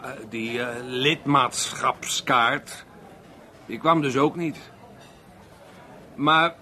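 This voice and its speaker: An actor playing a drunk, aggrieved unemployed man rambling haltingly at a bar in a radio play. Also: a little high, sharp tone. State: drunk (acted). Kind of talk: Dutch radio play monologue and dialogue.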